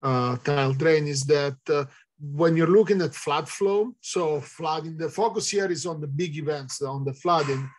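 Italian guy on a video call, kinda high voice, lots of uhs while he thinks. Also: moderate pace. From Italy. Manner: hesitant, many "uh" fillers, thinking aloud. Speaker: researcher answering audience questions. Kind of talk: Q&A in an online talk. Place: indoors, virtual background.

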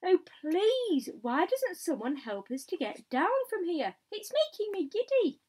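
A woman's voice: very high-pitched, big pitch swings, unhurried, a little mellow, pitched above the performer's own voice, full character voice. A woman voicing a giddy, pleading female giant spider in a storybook, calling out plaintively.

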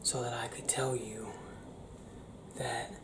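A young man performing an intimate ASMR roleplay as a restless, tender werewolf, speaking in a hushed, halting, often whispered way. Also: medium pitch, level tone. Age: young adult. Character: young male werewolf confiding in his partner, restless, tender. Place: small indoor room. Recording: roomy echo, clear background noise.

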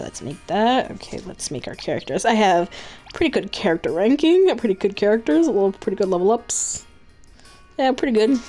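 A woman's voice: medium pitch, mellow. A woman streaming a game with casual playthrough commentary, offhand and rambling, often interrupting herself.

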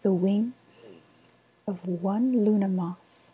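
A woman playing a sly woodland witch in a softly spoken ASMR roleplay, half-whispering in a deliberate, sweetly ominous manner. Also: mid-range voice, slightly rough, full character voice, poor audio. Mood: hushed, ritual. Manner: slow, deliberate, hushed, ominous.

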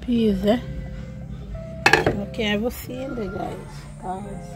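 A woman's voice: medium pitch, fairly even intonation, takes it slowly, loud music behind the voice. A Jamaican woman casually chatting to her viewers in patois while cooking outdoors, filming on a handheld phone. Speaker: cooking vlogger. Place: outdoor yard kitchen. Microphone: phone held in one hand while filming.

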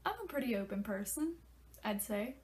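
A woman's voice: medium pitch, animated, normal speed, slight background noise. A young woman in her twenties, congested, chatting casually and loosely to camera in a small bedroom, swearing freely. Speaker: vlogger.

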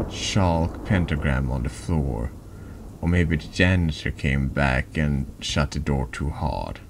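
A man with a deep voice reading horror narration slowly and haltingly in an ASMR style, over background noise. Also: creaky, darkish tone. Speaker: vtuber reading a text game aloud.

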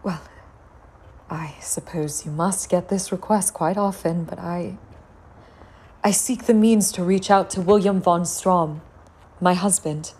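Breathy young grieving noblewoman. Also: lowish voice, slow pace, long pauses, a little mellow. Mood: wistful. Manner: formal, breathy, theatrical. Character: young grieving noblewoman, genteel and desperate widow. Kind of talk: gothic audio drama acting.

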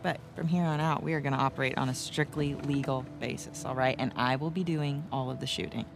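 A woman playing a confident, warm, middle-aged American wife and adventurous journalist in a naturalistic video game cutscene, with a teasing, assured manner. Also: extremely low pitch, steady tone, a little fast, creaky, noisy background. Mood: earnest, hopeful. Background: music.

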